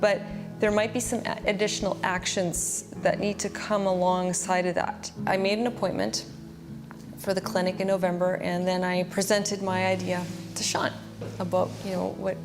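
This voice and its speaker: A woman giving a personal testimony, recounting events in an earnest, plain-spoken way. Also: mid-range voice. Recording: music bed under the voice. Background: background music.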